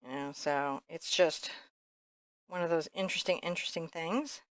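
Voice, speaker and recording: Low-voiced woman teaching painting, clean and dry. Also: normal speed, dry recording. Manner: candid, informal, rambling asides. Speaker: painting instructor. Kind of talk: casual step-by-step painting tutorial, thinking aloud.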